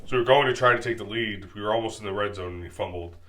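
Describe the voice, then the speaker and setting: A man co-hosting a casual football talk show with a big, loud voice, swearing casually as he rambles excitedly about the game. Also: fairly low pitch, rapid-fire, background noise. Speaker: co-host. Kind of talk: casual sports chat.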